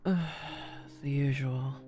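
A woman's voice: extremely low pitch, takes it slowly, mellow, faint music behind. A woman acting as a grumpy, foul-mouthed young employee in an audio roleplay, irritable, sarcastic and exasperated. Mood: fed up, ranting. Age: young adult.

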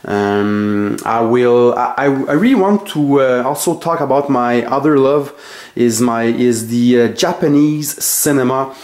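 A man with a French Canadian accent, his first language French, showing his collection in his home basement and talking haltingly with many fillers and self-corrections. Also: mid-range voice, a little mellow. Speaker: collector vlogger. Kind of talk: casual collection tour. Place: home basement full of shelves.

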